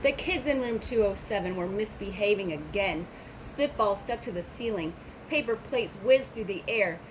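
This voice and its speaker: A woman's voice: medium pitch, lively intonation, moderate pace, background noise. A woman reading a children's storybook aloud, adding casual asides. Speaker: storybook reader.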